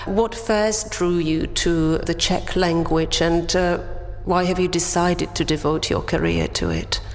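A woman's voice: fairly low pitch, expressive, measured pace, soft background music. A woman interviewer presenting and putting prepared questions in a formal interview. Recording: reverberant hall sound.

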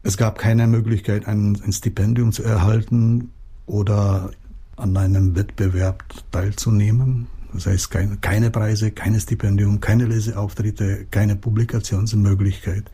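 Middle-aged Czech-born man, Czech accent, dark mellow voice, halting interview answers. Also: lowish voice, level tone, slightly rough. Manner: hesitant, many fillers and restarts. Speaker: writer, interviewee.

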